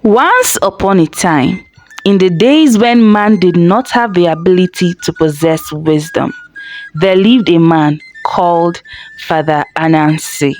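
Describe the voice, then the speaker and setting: A woman narrating a children's folktale in an expressive, dramatic storytelling manner. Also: on the low side. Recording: faint background noise.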